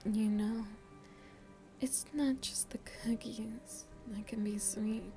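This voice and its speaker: Slow, whispery, seductive vampire mommy played by a woman, soft and dark-toned, doting and teasing, for ASMR roleplay over faint music. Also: on the high side, fairly even intonation. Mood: tender, teasing. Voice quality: breathy, whispery. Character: seductive, doting motherly female vampire hostess.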